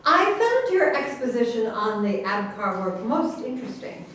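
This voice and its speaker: A woman in a staged reading playing an earnest questioner who speaks in a deliberate, inquiring way. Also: very animated, a bit bright. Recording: distant, very echoey room with faint rumble.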